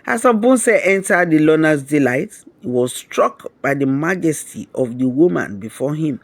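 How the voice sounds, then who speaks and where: A man narrating a scripted romantic folktale in a measured, dramatic storybook manner. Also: fairly high pitch, lively intonation, no echo.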